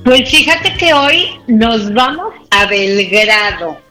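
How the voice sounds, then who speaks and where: A Mexican woman co-hosting a local radio travel segment, chatting casually, slowing down for facts and correcting herself as she goes. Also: fairly high pitch, animated, music in the background, rough recording. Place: remote from the studio.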